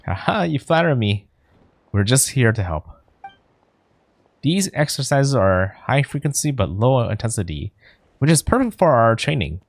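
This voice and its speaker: A man's voice: mid-range voice, big pitch swings, normal speed, pauses often, no room sound. A man voicing a confident, easygoing athletic coach while reading game dialogue aloud, breezy and good-natured.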